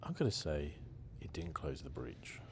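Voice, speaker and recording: A man giving soft-spoken ASMR commentary on a game playthrough in a casual, hesitant, chatty way. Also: very deep voice, very animated, moderate pace, a little hiss or hum. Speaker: ASMR gaming channel host.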